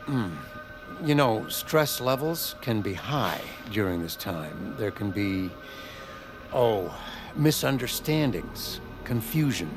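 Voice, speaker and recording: Army corporal, American, slow and deliberate, halting, quietly pressuring, audio drama, music underneath. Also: male voice, lowish voice, big pitch swings, heavily acted. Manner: halting, deliberate, soft-pedalling.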